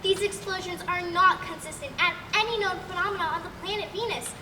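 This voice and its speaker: A woman's voice: very high voice, quick pace. A young woman playing an earnest, assertive assistant in a stage comedy, with a precise, dramatic delivery. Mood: grave, earnest. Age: young adult. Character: earnest, assertive, overlooked scientist's assistant in radio play. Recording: echoey, noisy stage, distant mic.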